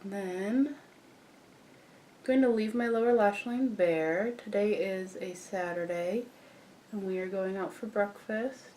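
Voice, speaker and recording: A young woman with a croaky morning voice, chatting casually as she walks through a makeup tutorial. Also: medium pitch, slow, a little hiss or hum. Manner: chatty, relaxed, frequent fillers and restarts. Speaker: beauty vlogger.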